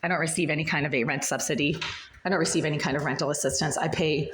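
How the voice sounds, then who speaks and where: A woman tenant with a raspy, breathless voice, recording an agitated complaint in her small apartment bathroom and hallway. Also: low-pitched, fairly even intonation, fast, fairly bright tone, a little hiss or hum. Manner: agitated, relentless, repetitive listing. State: short of breath. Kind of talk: angry first-person complaint vlog.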